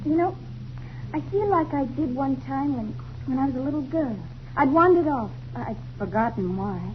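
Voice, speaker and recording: A woman's voice: high-pitched, lively intonation, moderate pace. An actress playing a tender, earnest young American woman, a frightened survivor, in an old-time radio drama. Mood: fearful, wistful. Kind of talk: 1950s radio drama acting. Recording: dull, lo-fi old radio, faint noise.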